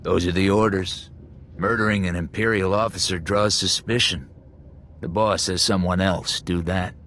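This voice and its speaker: Low, curt male interrogator. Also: fairly even intonation, moderate pace, a bit bright, a little hiss or hum. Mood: businesslike, cold. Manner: curt, menacing, matter-of-fact. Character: hard, businesslike male thug interrogator giving orders. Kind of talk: video game drama acting.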